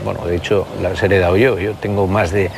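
A man's voice: low-pitched, little pitch movement, fast talker, vocal fry, loud music behind the voice. A man in his sixties from the Country, speaks as a politician guest in an interview, reflective and full of hesitations and restarts. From Basque Country, Spain. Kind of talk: conversational interview.

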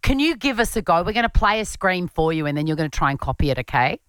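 Chatty Australian female radio host, deep-ish voice, animated, close dry mic. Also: quick pace, smooth, no room sound. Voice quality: low register. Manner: laughs often, chatty. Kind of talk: casual radio chat.

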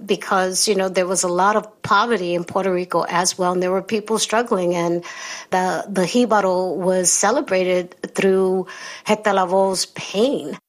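A woman's voice: lowish voice, steady tone, moderate pace. A woman guest speaking in a conversational, reflective way. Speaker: guest commentator.

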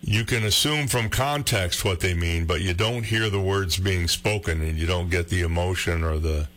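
A middle-aged radio man with a deep, low baritone, talking in an easygoing way and gently poking fun at himself as he works through his thoughts. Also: moderate pace. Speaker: radio host. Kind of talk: casual conversation.

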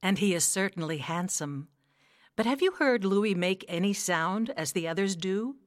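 A woman playing a gentle, concerned mother swan in a children's story, speaking in a refined, tactful way. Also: mid-range voice, lively intonation, normal speed, dry recording, studio quality, lightly acted. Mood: tender concern.

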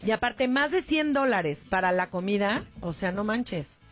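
A woman from Mexico hosting a radio call-in show, chatting in a lively, gossipy way and calling callers "corazón". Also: mid-range voice, unhurried, soft background music. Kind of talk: casual radio chat.

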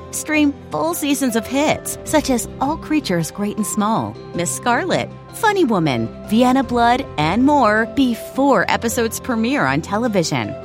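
An upbeat woman reads a persuasive promotional spot in a fairly high voice. Her pitch moves in lively swings. Music plays clearly behind her. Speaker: announcer. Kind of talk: promotional announcement.

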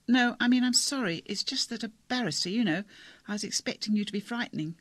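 Narrator putting on a weepy seventeen-year-old girl, high and breathless, keeps saying sorry, dry close sound. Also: a bit bright, no echo, natural voice. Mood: flustered. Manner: high, breathless, rushed apologies. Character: tearful teenage girl, anxious and apologetic.

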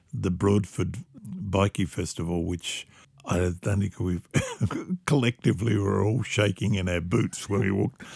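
A man's voice: lowish voice, creaky, darkish tone, dry recording, clean studio sound. An older man, a musician telling rambling anecdotes in a casual interview, often laughing.

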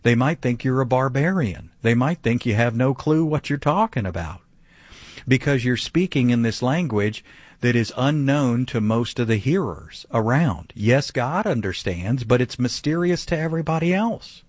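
Male radio preacher, mid pitch, emphatic, dry close mic. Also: lively intonation, moderate pace, no echo. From grew up speaking some Vietnamese. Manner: emphatic, rhetorical questions. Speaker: pastor. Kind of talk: radio Bible teaching.

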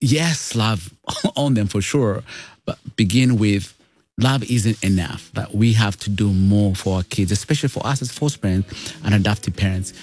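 Low-voiced Ugandan man telling his story. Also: normal speed, darkish tone. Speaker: guest, foster father and author. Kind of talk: conversational personal storytelling.

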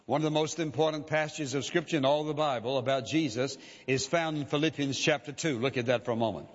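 A man preaching a sermon as a pastor, measured and emphatic, repeating key phrases for weight. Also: medium pitch. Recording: dull lo-fi sound with long hall reverb.

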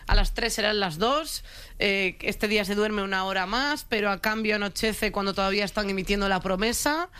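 A woman's voice: mid-range voice, fairly quick, pushed and bright, clean tone. A woman with an accent from Spain hosting a comedy talk show in casual, teasing, irreverent, colloquial banter. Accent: Spanish from Spain. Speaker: radio show host.

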